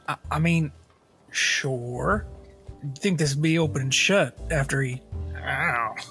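A man's voice: fairly high pitch, unhurried, pushed and bright, soft background music. A man playing an uneasy, hesitant fellow in a tabletop roleplay, unsure of himself.

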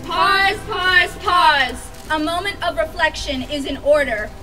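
A woman's voice: very high voice, slow pace, distant mic, poor audio. A young woman performing impassioned spoken word poetry as a youth activist at an outdoor rally, through a stage microphone. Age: young adult. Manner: impassioned, declamatory. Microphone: stage microphone at a podium. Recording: outdoor PA, music and crowd behind.

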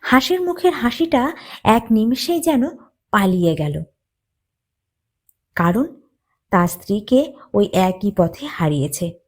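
Slow, very expressive woman storyteller. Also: medium pitch. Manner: deliberate, dramatic phrasing. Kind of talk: expressive narration of a children's moral story.

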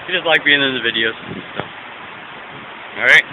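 A man's voice: medium pitch, moderate pace, phone-quality sound, background noise. A young man filming a fishing trip at a dam, talking casually and goofing around to the camera. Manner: goofy, laughing, playful to the camera. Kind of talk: casual chat. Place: outdoors at a dam.

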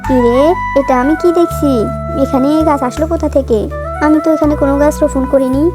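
A woman's voice: sits high, steady tone, a little fast, over loud music, lightly acted. A woman playing a poor, caring but exasperated mother in a children's cartoon, with a fretful motherly manner. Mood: puzzled. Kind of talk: children's fairy-tale cartoon dialogue.

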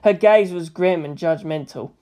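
A woman's voice: fairly low pitch, moderate pace, warm tone, dull audio. A woman reading scripted fan fiction narration as an audiobook narrator.

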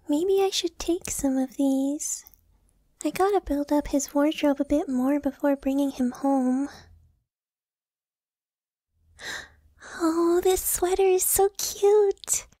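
A woman playing a clingy, lovestruck young anime girlfriend in an ASMR roleplay, soft and intimate. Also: sits high, dry recording, studio quality. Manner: soft, breathy, intimate delivery.

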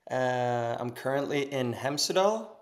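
A man in his thirties with a Norwegian accent, chatting casually as a podcast guest over a video call from his kitchen, relaxed and laughing easily. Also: medium pitch, a little slow. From Norway. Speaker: guest, pro snowboarder. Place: home kitchen, indoors.

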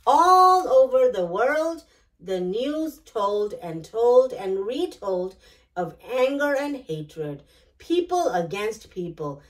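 A middle-aged woman hosting storytime, reading a picture book aloud in an expressive, deliberate way. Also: medium pitch, rough recording. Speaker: children's storytime host. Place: small decorated indoor storytime set.

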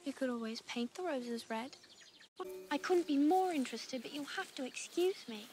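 A young woman with an English accent playing a dreamy, outspoken gentlewoman in a period fantasy film. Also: high voice, expressive, moderate pace. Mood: earnest. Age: young adult.

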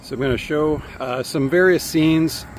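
A man in his fifties with an American accent gives casual vlog commentary in a halting, matter-of-fact way, recorded outdoors on a phone. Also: a little high. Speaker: cycling vlogger. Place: outdoors by bike paths and roads. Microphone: phone at arm's length. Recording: noisy outdoor audio with traffic ambience.

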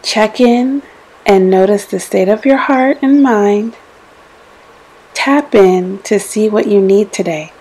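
A woman leading a guided meditation in a gentle, soothing way, giving deliberate instructions with pauses between phrases. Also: mid-range voice, low-quality recording. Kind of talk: guided meditation, scripted narration.

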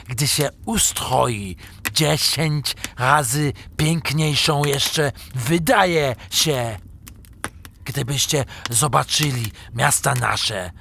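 A scheming German merchant in a radio play. He speaks extremely slowly and haltingly, with a German accent and a boastful, insinuating tone. There is slight noise behind the voice. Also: mid-range voice, vocal fry, heavily acted. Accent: German, put on. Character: scheming German trader tempting a Slav into treason. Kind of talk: audio drama acting.

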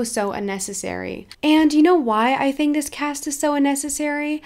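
A woman's voice: on the high side, darkish tone. A teenage girl from Belgium, chatting casually at her PC about an injury over game footage. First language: Dutch. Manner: chatty, repeats herself, frequent "you know". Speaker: gaming YouTuber. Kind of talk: casual story time over gameplay.

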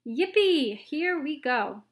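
A woman voicing an excitable elderly grandfather who shouts in shrill alarm and glee. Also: takes it slowly. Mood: gleeful.

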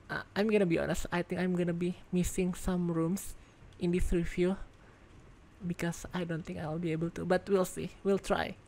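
A woman giving casual gameplay commentary as a reviewer, excitable and thinking out loud, congested and losing her voice. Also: on the low side. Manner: excitable, exclaims in surprise, thinks aloud. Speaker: game video reviewer.

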